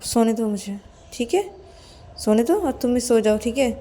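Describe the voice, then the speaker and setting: A woman's voice: fairly high pitch, expressive, normal speed, pitched above the performer's own voice. A woman voicing a stern young woman who scolds and threatens with bossy questions in an audio story. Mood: stern, threatening. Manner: bossy, sharp rhetorical questioning.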